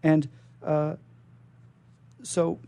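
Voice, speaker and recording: A man's voice: on the high side, monotone, extremely slow, gravelly, warm tone, clear, resonant tone, no echo. A man hosting a radio interview, informal and conversational, prompting his guest and often repeating his phrases. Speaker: radio show host, interviewer.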